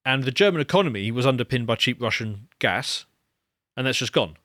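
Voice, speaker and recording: A man's voice: mid-range voice, expressive, brisk, sharp tone, dry recording. Man in casual podcast talk, dry mic. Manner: conversational, stumbles and repeats words when starting a point. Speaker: podcast co-host.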